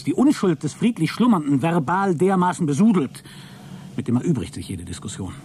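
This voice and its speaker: An actor playing a prudish, wounded and coldly scheming space traveller, speaking a formal, wordy log in a radio drama. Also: male voice, lowish voice, warm tone, slight background noise, lightly acted. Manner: formal, self-righteous, wordy. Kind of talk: radio drama monologue, spoken log entry.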